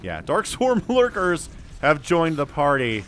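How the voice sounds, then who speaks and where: A man calling a live strategy game match solo, his voice a little hoarse and stuffy from a cold, swinging from calm analysis to loud exclamations. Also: fairly high pitch, a little slow, slight background noise. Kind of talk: live esports play-by-play commentary.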